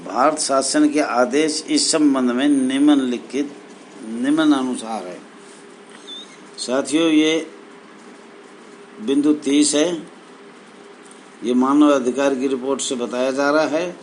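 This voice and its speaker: A man reading official documents aloud and explaining them in a halting, phrase-by-phrase way. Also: medium pitch, low-quality recording. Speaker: presenter explaining rules to fellow members. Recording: noticeable background noise.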